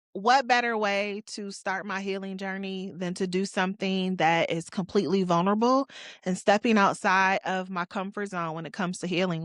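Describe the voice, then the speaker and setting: A woman's voice: mid-range voice, fairly bright tone, no echo, studio quality. A woman of forty, hosting her own podcast and talking through her life in a candid, reflective, conversational way with casual slang. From Detroit, USA. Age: 40s. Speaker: podcast host. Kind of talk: personal solo podcast monologue.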